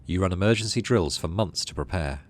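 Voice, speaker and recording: A man's voice: deep voice, animated, brisk, slight background noise. A man hosting a gaming video, reading story text aloud expressively and adding casual, musing commentary.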